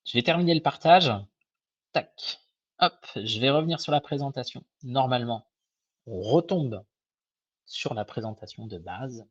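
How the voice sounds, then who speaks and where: A young man with a slightly hoarse voice gives a casual webinar presentation as an advisor, hesitant and informal, with many fillers and restarts. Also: mid-range voice, expressive, a little slow, pauses often, no echo. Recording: dull, lo-fi web call audio.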